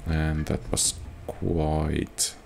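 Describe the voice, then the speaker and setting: A man's voice: a bass voice, monotone, extremely slow, gravelly, background noise. A man giving casual commentary while playing a video game, thinking aloud in a muted way. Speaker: gamer narrating own playthrough. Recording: game music behind the voice. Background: video game music.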